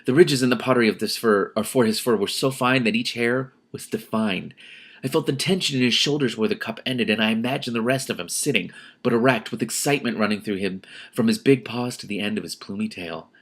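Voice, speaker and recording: Guy w a lowish creaky voice reading a book out loud, super into it, reacts as he goes. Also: fairly quick. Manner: effusive, emotionally invested. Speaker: host reading a novel aloud with commentary. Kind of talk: expressive read-aloud with spontaneous reactions.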